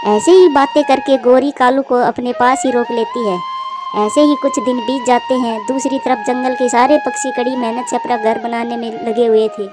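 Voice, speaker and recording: A woman narrates a scripted children's story in a high voice. Music plays clearly behind her narration. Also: steady tone, normal speed.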